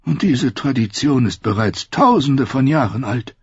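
A man voicing a very old maester in an audiobook, speaking cool and measured, in a slightly dull recording. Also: mid-range voice, lively intonation, a little mellow, slightly rough, no echo, voice pushed up, full character voice. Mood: calm, formal. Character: very old blind male maester, calm and dignified.

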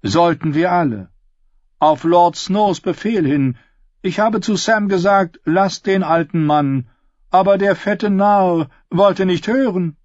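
Man acting a boastful young singer, pitched up. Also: slow pace, a little mellow, no echo, muffled recording. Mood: scornful. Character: boastful young male singer, cocky and scornful. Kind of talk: audiobook character voice.

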